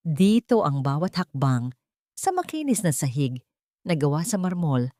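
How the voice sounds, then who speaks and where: A woman with a Filipino accent narrating a dramatic audio story in a measured, emotive way. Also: fairly low pitch, a little mellow, dry recording, studio quality.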